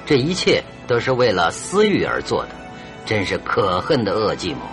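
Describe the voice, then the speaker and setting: An actor playing a shrewd, confident detective in a radio drama, reasoning aloud in a theatrical, emphatic and deliberate way. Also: male voice, lowish voice, huge pitch swings, over music. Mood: stern, indignant. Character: shrewd, confident male detective, stern yet teasing. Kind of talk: Chinese radio drama acting, expository monologue.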